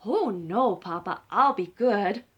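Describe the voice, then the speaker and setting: A woman voicing an eager little red chicken girl in a bedtime storybook, pleading and excitable. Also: mid-range voice, takes it slowly, slightly rough, lo-fi sound. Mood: earnest promising.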